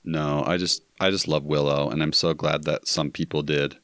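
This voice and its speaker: A man's voice: extremely low pitch, flat delivery, moderate pace, fairly bright tone, no echo. A man guesting on a casual podcast, easygoing and enthusiastic as he tells stories.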